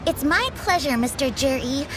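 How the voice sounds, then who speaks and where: A woman's voice: sits high, animated, moderate pace, over music. Courteous female shopkeeper. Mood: gracious. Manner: courteous, salesperson-like. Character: polite, gracious young female antiques shopkeeper. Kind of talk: video game dialogue acting.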